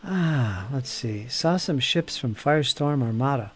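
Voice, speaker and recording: A man's voice: medium pitch, animated, a little slow, faint music behind. A man hosting a casual live stream while painting miniatures, chatting with viewers by name and muttering to himself as he works. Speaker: hobby streamer.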